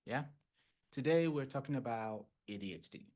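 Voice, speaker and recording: A man's voice: medium pitch, animated, normal speed, a little mellow. A man from Ghana whose first language is Fante, a clinical psychologist hosting a podcast and explaining things in a casual way, often checking in with "right?" and "yeah?".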